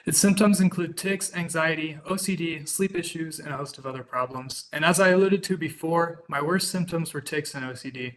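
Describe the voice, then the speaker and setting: A man's voice: on the high side, fairly quick, hardly any pauses, low-quality recording. A man in his twenties from Kansas, earnestly reading a prepared statement as a witness over a video call. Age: 20s. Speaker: witness giving testimony. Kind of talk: prepared public testimony.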